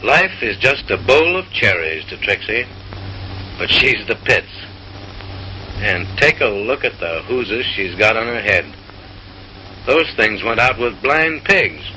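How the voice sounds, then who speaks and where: A man's voice: fairly low pitch, very animated, takes it slowly, long pauses, pushed and bright, a little hiss or hum, rough recording. A man narrating in a mid-century comic newsreel style, with an arch, mock-refined, theatrical delivery, on a vintage film soundtrack.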